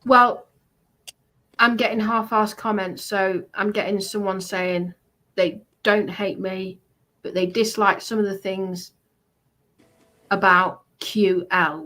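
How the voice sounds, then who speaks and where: Bright-voiced woman hosting a casual stream. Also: mid-range voice, fairly even intonation, unhurried. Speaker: live stream host. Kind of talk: casual live stream chat.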